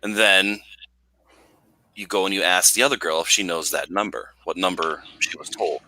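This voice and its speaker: A man's voice: low voice, fairly even intonation, moderate pace, pushed and bright, poor audio. A man playing a tabletop game, earnestly laying out a plan to the table. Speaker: player.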